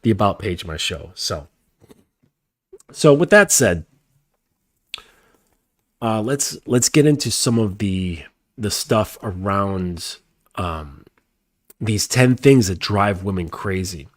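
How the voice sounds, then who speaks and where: A man's voice: on the low side, lively intonation, takes it slowly, long pauses, dry recording, clean studio sound. A man hosting his show while congested from a cold, talking nasally in a rambling casual way with lots of fillers and restarts. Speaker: podcast host. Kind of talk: casual chat.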